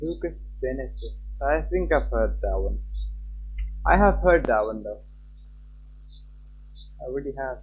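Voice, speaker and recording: A man's voice: medium pitch, animated, unhurried, long pauses, breathy edge, lo-fi sound. A man streaming live, chatting casually with viewers and talking to himself as he fiddles with his stream settings.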